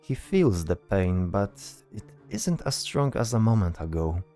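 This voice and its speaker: Deep-voiced man narrating a fantasy story. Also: sing-song, a little slow, warm tone, soft background music.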